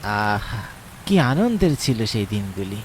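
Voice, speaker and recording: A man's voice: fairly low pitch, very animated, a little slow, a little hiss or hum. A man playing a playful, nostalgic friend back from the city in a horror audio drama, teasing with ghost tales. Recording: rain and thunder behind the voice. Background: rain, thunder.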